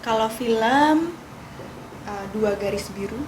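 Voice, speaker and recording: A woman's voice: fairly high pitch, slow, background noise. A woman from Yogyakarta answering interview questions casually, with fillers.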